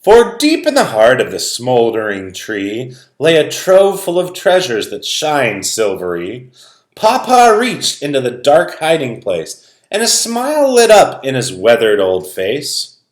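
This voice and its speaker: Slow, very expressive man reading a storybook. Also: mid-range voice. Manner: dramatic, expressive. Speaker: storybook reader. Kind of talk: animated children's read-aloud, rhyming narration. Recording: roomy, echoing sound.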